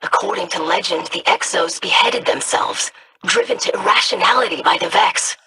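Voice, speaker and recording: An actress voicing a calm, scholarly woman who studies dark lore in a video game, speaking in measured, formal sentences. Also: lowish voice, husky, full character voice. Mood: grave.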